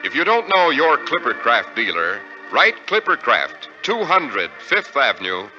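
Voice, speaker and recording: An American male radio announcer reads in a polished, formal 1940s style. His delivery is lively and varied, over organ music on a dull, old lo-fi recording. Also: mid-range voice, unhurried.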